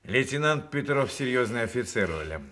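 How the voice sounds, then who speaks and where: An actor playing an older, ailing war veteran officer, hearty, unhurried and plain-spoken, in a Soviet radio drama. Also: male voice, medium pitch, animated, fairly bright tone, natural voice. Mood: quietly firm.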